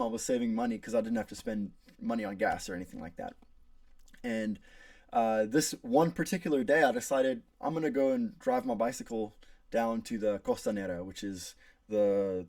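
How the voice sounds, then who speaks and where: A man's voice: medium pitch, vocal fry, darkish tone, dry recording, studio quality, recorded from a distance. A young man from South Africa with an American accent, giving an earnest prepared class speech about his travels, with frequent fillers and restarts. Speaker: student.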